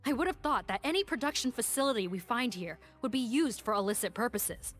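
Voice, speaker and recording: Casting: analytical young female sleuth, anime game, lightly high voice, formal, precise, measured delivery. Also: lively intonation, soft background music. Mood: puzzled, probing. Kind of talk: anime-style video game voice acting.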